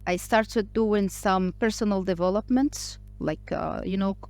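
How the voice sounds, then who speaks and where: A woman's voice: medium pitch, measured pace, a little mellow, no room sound. Hesitant woman from Morocco, interview guest. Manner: speaks English with frequent fillers, restarts and hesitations. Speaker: podcast guest, entrepreneur. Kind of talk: casual interview talk.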